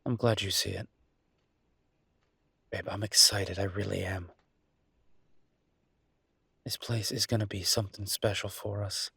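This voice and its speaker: Husky, low-voiced man acting as earnest chef boyfriend. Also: fairly even intonation, unhurried, long pauses, sharp tone, no echo, full character voice. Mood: reassuring, excited. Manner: hesitant, conversational, earnest. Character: enthusiastic down-to-earth chef boyfriend opening a mountain restaurant. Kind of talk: audio roleplay acting, casual one-sided conversation.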